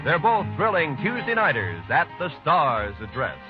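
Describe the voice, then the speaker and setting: A man's voice: on the high side, wildly swooping pitch, normal speed, music in the background. An American man reading as a 1950s radio announcer, polished and with dramatic emphasis. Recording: muffled vintage radio broadcast recording.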